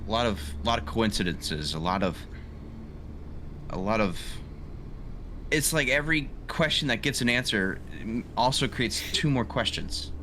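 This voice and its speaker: A man speaking as a tabletop game player, halting and repeating himself. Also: lowish voice, lively intonation, pushed and bright, a little hiss or hum.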